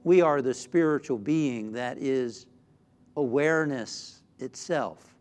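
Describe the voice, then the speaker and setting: A man, a minister, leading a spiritual guided meditation in a soothing, deliberate way with frequent pauses. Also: mid-range voice, darkish tone. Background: background music.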